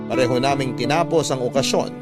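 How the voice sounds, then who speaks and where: A man's voice: medium pitch, fast, loud music behind the voice. A man with a Filipino accent reading a scripted first-person love story as narrator, in a classic radio storytelling style.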